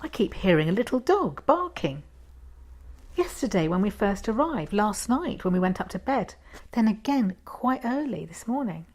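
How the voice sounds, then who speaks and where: A woman's voice: medium pitch, sing-song, moderate pace, a little mellow, voice pushed up. An anxious, insistent married woman from a short story, voiced by a woman reading aloud. She is blind and presses her point in a natural, raised voice. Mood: puzzled.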